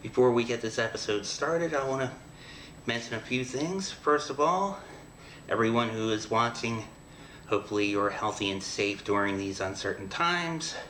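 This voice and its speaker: A middle-aged man hosting a show, talking casually to the viewer in a halting, rambling, conversational way. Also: medium pitch, lively intonation, bright, forceful tone. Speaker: host of an audio drama show. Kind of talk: casual show introduction to camera. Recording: echoey room with background hiss.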